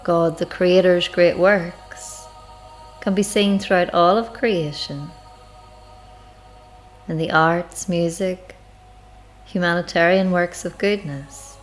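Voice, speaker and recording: A woman guiding a spiritual meditation in an unhurried, reflective, measured way, with long pauses between phrases. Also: lowish voice, fairly even intonation. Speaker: guided meditation leader. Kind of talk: slow spiritual guided meditation narration. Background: music.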